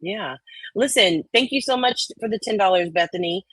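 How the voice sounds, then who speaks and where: Woman streamer just chatting, jokey and sweary, average pitch, very dry sound. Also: animated, normal speed, a bit bright, breathy edge, no echo. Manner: sweary, joking, informal. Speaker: livestream host. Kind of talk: casual livestream chat.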